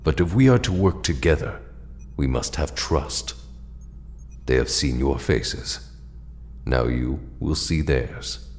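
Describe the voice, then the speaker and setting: A man playing a solemn, formal and measured ancient alien mentor, last of his people, in an audio drama. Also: a bass voice. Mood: solemn, commanding.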